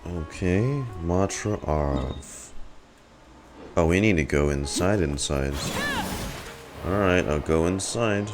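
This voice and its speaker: A man's voice: low voice, slow, long pauses, over music. A man streaming a game with casual reaction commentary, musing and muttering to himself.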